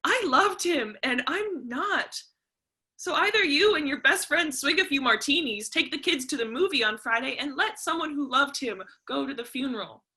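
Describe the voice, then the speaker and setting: Casting: actress as young student daughter, high-pitched and forceful, sharp-tongued, sarcastic, confrontational, for a dramatic play reading. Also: female voice, lively intonation, moderate pace. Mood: furious, accusing.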